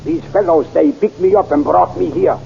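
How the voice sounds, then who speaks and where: A man's voice: fairly high pitch, normal speed, creaky, a little hiss or hum. An actor playing a loyal, fatherly older scientist in a 1930s radio serial, in a dull lo-fi old recording. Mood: earnest.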